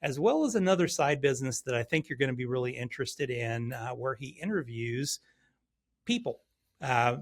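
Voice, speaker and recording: A man's voice: mid-range voice, fairly bright tone. A man hosting a podcast, friendly and talking with lots of fillers. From Hawaii. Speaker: podcast host. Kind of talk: podcast interview.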